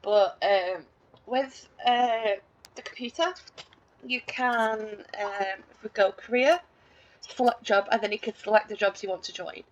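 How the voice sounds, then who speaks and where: A woman's voice: a little high, unhurried, highs cut off. Rambling woman narrating her game. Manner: chatty, rambling, many fillers and restarts; self-deprecating, lightly laughing. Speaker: let's play gamer. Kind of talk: casual gameplay commentary.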